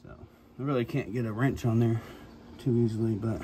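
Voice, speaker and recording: A man's voice: medium pitch, steady tone, a little fast, heavy background noise. Man muttering through a basement repair. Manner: muttering, thinking aloud as he works. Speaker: DIY homeowner filming a repair. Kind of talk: casual narration while working. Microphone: handheld phone camera.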